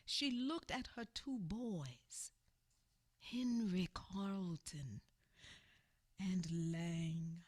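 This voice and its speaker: A woman's voice: fairly low pitch, extremely slow, pauses often. A woman narrating a radio theater play in a mournful, theatrical way, drawing out her phrases. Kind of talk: dramatic scripted narration.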